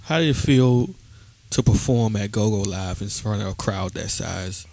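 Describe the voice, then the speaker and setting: Low, creaky male interviewer. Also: moderate pace, a little mellow. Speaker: radio show interviewer. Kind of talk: casual interview questioning.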